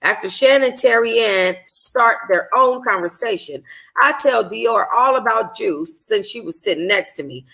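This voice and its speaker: Bright-voiced woman reading a novel aloud. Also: on the high side, lively intonation, normal speed, vocal fry, low-quality recording. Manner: stumbles over words and rereads phrases. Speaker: book club host reading a novel aloud. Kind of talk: informal first-person audiobook narration.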